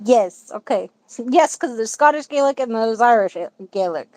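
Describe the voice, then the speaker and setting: A young adult woman talks eagerly in casual chat and laughs easily. Her voice sits a little high. The recording is dry and close. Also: animated, normal speed, darkish tone, dry recording. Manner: chatty, eager, laughs often. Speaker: livestream co-host.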